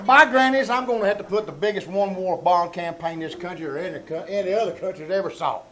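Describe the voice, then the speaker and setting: Man playing a pompous, high-pitched Southern country fellow. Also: fast talker, natural voice. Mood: self-important. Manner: folksy, pompous, blustering drawl. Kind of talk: old-time radio comedy acting, live reenactment. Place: small theater stage before audience. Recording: live small theater stage, background noise.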